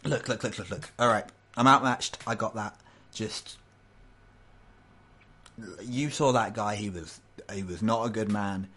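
A man's voice: fairly low pitch, fairly even intonation, a little slow, long pauses, bright, forceful tone. A man voicing a cornered male thief who stammers and pleads nervously. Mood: pleading. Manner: rambling, jittery, stammering.

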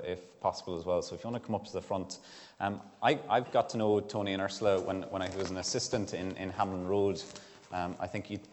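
A man leading a church service, introducing guests in a halting way with many restarts. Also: sits low. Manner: hesitant, repeating words. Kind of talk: casual interview in a service. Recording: echoey church hall, faint noise.

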